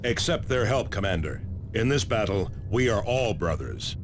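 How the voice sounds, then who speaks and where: An actor playing an earnest, disciplined warrior squad leader in a game, speaking in clipped military fashion. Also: male voice, lowish voice, very animated, moderate pace, noisy background. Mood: solemn. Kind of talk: battlefield reports, game voice acting.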